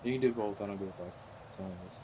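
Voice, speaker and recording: Male gamer with a lowish voice, plain-spoken and explanatory, clear background noise with clicks and typing. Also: racing. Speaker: roleplay club leader. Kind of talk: casual online game chat.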